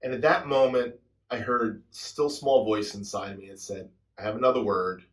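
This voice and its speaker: Man in his 60s, drummer talking to his viewers, slow thoughtful storytelling, lowish voice, trails off quiet at the ends. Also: fairly even intonation. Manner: introspective storytelling, soft trailing endings. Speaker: drummer and channel host. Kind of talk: reflective personal talk to camera.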